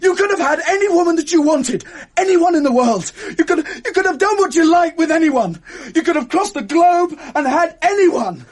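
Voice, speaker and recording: A proud young Englishman, betrayed and drunk, pitched very high and hurling accusations. His voice breaks between shouting and near-tears with wounded pride. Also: lively intonation, normal speed, few pauses. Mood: furious. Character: impassioned young man, betrayed, bitter, proud. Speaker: jilted friend. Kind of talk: 1980s radio drama acting.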